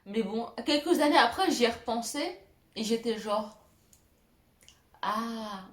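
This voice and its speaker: A woman's voice: on the high side, animated, moderate pace, a little hiss or hum. Giggly young woman in an echoey room. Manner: self-mocking, giggly, many fillers. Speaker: YouTuber. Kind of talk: storytelling vlog.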